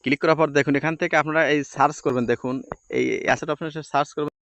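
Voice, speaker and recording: A man's voice: on the high side, steady tone, rapid-fire, fairly bright tone, no room sound. A man presenting an informal step-by-step editing tutorial, with repetitive instructional phrasing.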